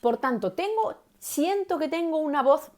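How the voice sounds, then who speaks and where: Lively woman coaching viewers. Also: high voice, normal speed. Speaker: communication coach. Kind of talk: live-stream teaching talk.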